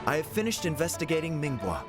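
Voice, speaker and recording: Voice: mid-range voice, sing-song, fast, lightly acted. An actor voicing an earnest, competitive young investigator in a video game, with a dutiful, easily needled manner. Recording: loud background music.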